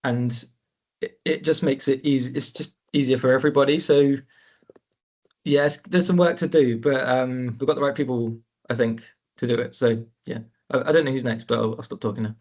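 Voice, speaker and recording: Mid-pitched man, casual filler-heavy update, webinar call audio. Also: fairly even intonation, normal speed, a little mellow. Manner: loose, lots of "sort of" and "you know". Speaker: network member presenting. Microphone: video call.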